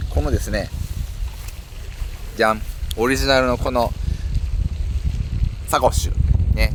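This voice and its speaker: A man speaks in a casual vlogging style with a lively, varied pitch at a middle register. He explains things directly to his viewers like a presenter out on a ride. The recording is outdoors, with clear wind and ambient noise behind him. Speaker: cycling vlogger presenting local activities. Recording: noisy outdoor audio with wind rumble.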